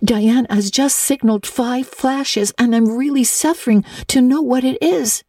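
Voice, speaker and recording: A woman's voice: a little high, measured pace, mellow, no room sound, clean studio sound, voice pushed up. A woman voicing an eager, imaginative young girl in a storybook reading, breathless, gushing and earnest as she pleads. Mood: excited, gushing. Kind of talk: dramatic audiobook reading of classic literature.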